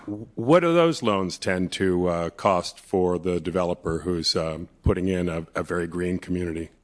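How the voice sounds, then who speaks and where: A man's voice: low voice, moderate pace. A man in the audience at a conference, a property developer asking the panel questions with many hesitations. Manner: hesitant, many fillers while framing a question. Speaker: audience member, multifamily developer.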